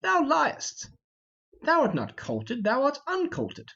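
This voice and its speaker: A man's voice: very high voice, huge pitch swings, slow, gravelly, bright, forceful tone, lo-fi sound, voice pushed up, heavily acted. A man voicing a mocking, cocky young prince with a taunting, commanding manner in an amateur Shakespeare reading.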